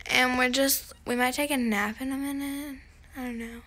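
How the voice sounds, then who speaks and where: A woman's voice: sits high, steady tone, normal speed, pushed and bright. A teenage girl chatting casually to her vlog on a phone held at arm's length. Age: teens. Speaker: vlogger. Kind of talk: casual chat. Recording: noisy handheld phone vlog.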